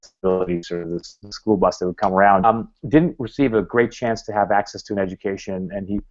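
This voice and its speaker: A man's voice: on the low side, quick pace. A man giving a talk to a club, rambling with many fillers and restarts. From Canada. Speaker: guest speaker. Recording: dull lo-fi recording.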